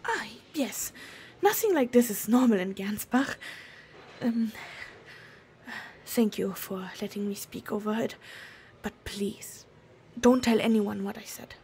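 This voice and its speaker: A woman playing a nervous, secretive German desk clerk in an audio drama, with a German accent and a halting, hushed, stammering delivery. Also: medium pitch, expressive, slight background noise. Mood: anxious, grateful. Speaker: voice actor. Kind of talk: cinematic audio roleplay acting.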